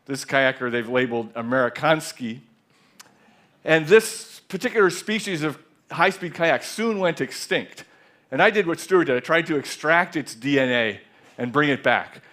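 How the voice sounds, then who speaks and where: A man's voice: a little high, sharp tone. Man in his sixties giving a stage talk. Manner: measured, reflective storytelling with some restarts. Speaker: speaker. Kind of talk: conference talk. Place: large theater stage. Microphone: headset mic.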